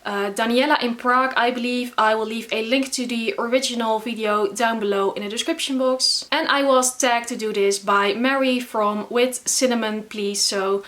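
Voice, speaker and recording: Chatty Dutch-accented woman, fairly high voice. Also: fairly even intonation, normal speed, hardly any pauses, fairly bright tone. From the Netherlands. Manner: chatty, frequent filler words and restarts. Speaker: booktuber. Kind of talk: casual vlog talk to camera.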